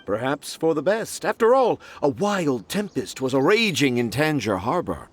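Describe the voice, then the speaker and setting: A vain, dreamy storybook author who speaks in grand, flowery phrases. His voice sits a touch high and swings dramatically up and down. The delivery is theatrical game dialogue. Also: big pitch swings, moderate pace, a little hiss or hum. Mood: grandiose. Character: pompous, dreamy male children's author.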